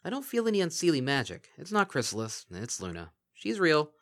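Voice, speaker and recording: A man's voice: fairly high pitch, wildly swooping pitch, fairly quick, fairly bright tone, voice pushed up. A man voicing a grim, tense young mare who is a disguised changeling, speaking curtly.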